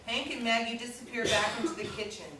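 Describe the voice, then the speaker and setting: Casting: female narrator for stage directions at a play reading, higher register, echoey, noisy room recording. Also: fairly even intonation, fast, sharp tone. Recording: echoey room, distant mic, clicks, noise.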